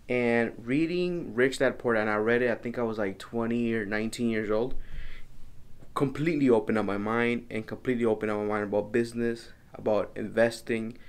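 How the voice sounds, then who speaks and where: Earnest man from El Salvador vlogging. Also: mid-range voice. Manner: earnest, repeats and restarts phrases. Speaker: business vlogger. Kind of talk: casual vlog talk to camera. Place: home office.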